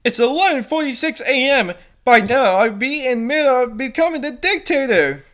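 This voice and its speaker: An emotional young cartoon princess, as a fan fiction reader puts the voice on. The pitch rides high and jumps around a lot. The manner is pleading and indignant, always melodramatic. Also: expressive, normal speed, fairly bright tone. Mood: wistful.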